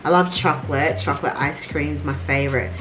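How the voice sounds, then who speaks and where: Chatty Australian woman, lowish voice. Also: fairly quick, over music, low-quality recording. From Argentina, raised in Australia. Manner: chatty, relaxed, many fillers and laughs. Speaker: vlog co-host. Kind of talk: casual chat. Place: home bedroom.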